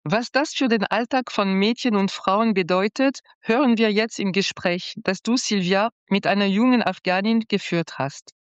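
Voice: mid-range voice, moderate pace, few pauses, clear, resonant tone, no room sound, muffled recording. A moderator of a news magazine, reading serious news in a sober, scripted way.

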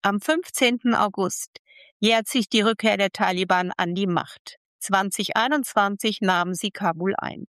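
Woman presenting radio news, steady and plain. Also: medium pitch, unhurried, clear, resonant tone, dry recording, studio quality. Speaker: radio reporter and co-host.

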